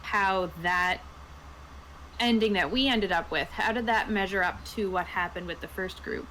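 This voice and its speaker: A woman's voice: medium pitch, normal speed, pushed and bright. A woman in a casual chat, leading a discussion of a game she played and asking questions. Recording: noisy background.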